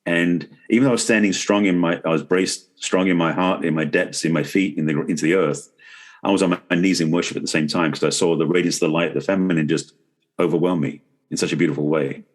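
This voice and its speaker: A man's voice: low-pitched, steady tone, fast talker. A man speaking as a podcast guest in a conversational interview, explaining things earnestly. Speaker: podcast guest, relationship expert and author.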